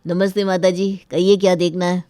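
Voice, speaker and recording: Lady doing a polite young guy, lowish mellow voice, soft spoken, story video. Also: flat delivery, moderate pace, dry recording, close to an everyday voice. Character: polite mild young man, shopkeeper or son.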